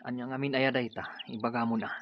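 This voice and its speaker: A man's voice: medium pitch, flat delivery, fast, slight background noise, close to an everyday voice. A man acting a stern, conflicted young rebel lover in a radio drama, speaking with an Ilocano accent. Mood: impatient. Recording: dull lo-fi audio.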